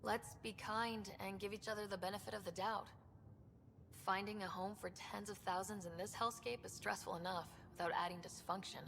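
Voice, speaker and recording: Creaky-voiced, composed young woman leader. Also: fairly even intonation, a little fast, a bit bright. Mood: composed, firm. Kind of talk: video game cutscene acting. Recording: slight reverb, faint rumbling noise.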